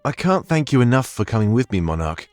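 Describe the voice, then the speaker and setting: A man's voice: mid-range voice, sing-song, fairly quick, darkish tone, dry recording, lightly acted. An actor playing an earnest, haunted young man, a loyal companion in a visual novel game, confiding with heartfelt emotion. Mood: grateful, earnest. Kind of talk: scripted visual novel character dialogue. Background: background music.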